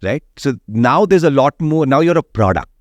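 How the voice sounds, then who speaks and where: A man's voice: mid-range voice, expressive, moderate pace, no echo. A radio jockey, explaining his views at length in an interview and often checking in with "right?". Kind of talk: opinionated interview conversation.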